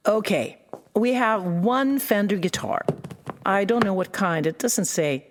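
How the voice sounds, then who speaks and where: A woman's voice: mid-range voice, measured pace, a little hiss or hum, lightly acted. An actress playing a polite, slightly hesitant woman in audio drama dialogue.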